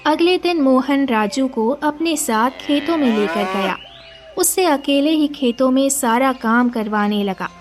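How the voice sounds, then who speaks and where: A woman's voice: high-pitched, expressive, a little slow, faint music behind. A woman giving scripted narration of a moral story as its storyteller.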